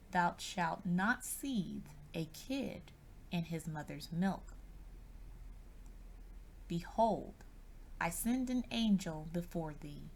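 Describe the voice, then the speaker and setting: A woman with a lowish voice gives a solemn scripture reading at a very slow, deliberate pace. Her voice has a marked creak, and each phrase is measured out carefully. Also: a little hiss or hum. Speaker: scripture reader.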